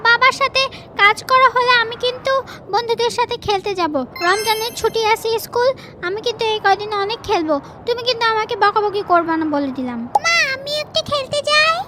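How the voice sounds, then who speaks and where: A lively little village boy begs to go out and play, as a woman puts him on. His voice is very high and bright with childish eagerness. Faint noise sits behind him. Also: fairly quick. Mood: eager. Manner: pleading, excited childish talk.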